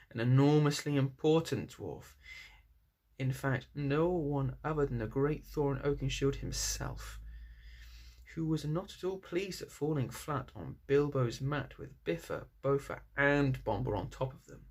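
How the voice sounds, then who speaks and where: Creaky-voiced male storyteller. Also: a little high, a little mellow. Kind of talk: story narration read aloud.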